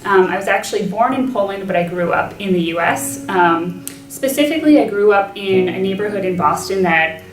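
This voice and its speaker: A woman in her twenties, a graduate student, sharing her personal story in an earnest, reflective way with frequent pauses and ums. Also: medium pitch, distant mic. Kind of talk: personal testimony. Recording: roomy, from off-mic, soft music.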